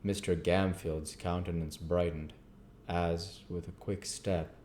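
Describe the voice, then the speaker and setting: Deep, mellow male story narrator. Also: flat delivery, slow, slight background noise. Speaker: audiobook reader.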